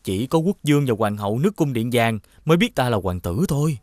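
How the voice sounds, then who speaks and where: Man voicing a lively young cartoon prince. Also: medium pitch, a little fast, dry recording, clean studio sound, close to an everyday voice. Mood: resolute. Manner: earnest, expressive. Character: earnest young prince posing as a hunter. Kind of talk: Vietnamese animated fairy tale dubbing.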